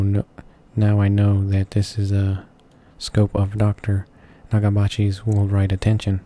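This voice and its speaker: A man reading along to a visual novel in a casual amateur way, stumbling over words and correcting himself. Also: on the low side. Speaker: gaming channel host reading along. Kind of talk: halting read-aloud of visual novel narration, casual asides. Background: game music playing softly.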